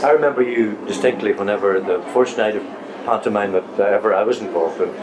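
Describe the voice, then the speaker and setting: A man conducts a conversational interview in a fairly low voice. There is clear noise behind him. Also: fast. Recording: clear background room noise.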